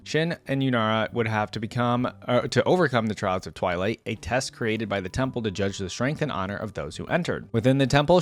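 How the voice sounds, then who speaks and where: A man giving casual reaction commentary, reading text aloud and thinking out loud in a conversational way. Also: on the low side. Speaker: video creator reacting to game lore.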